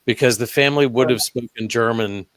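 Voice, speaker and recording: A man who is a guest on a paranormal podcast, speaking in a measured, hesitant way. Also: mid-range voice, dry recording, rough recording. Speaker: podcast guest, investigator at a brewery. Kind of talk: casual roundtable chat.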